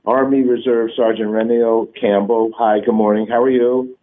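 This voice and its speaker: A man's voice: medium pitch, level tone, moderate pace. A man hosting a casual radio interview, chatty and jokey, often stumbling over his words with fillers. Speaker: radio show host. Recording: narrow phone-like broadcast sound.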